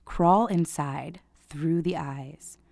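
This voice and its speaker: Radio play: measured female therapist, clinical, quietly authoritative, slightly low register. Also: vocal fry, heavily acted. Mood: hypnotic, commanding. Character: composed, clinical female therapist with hypnotic methods.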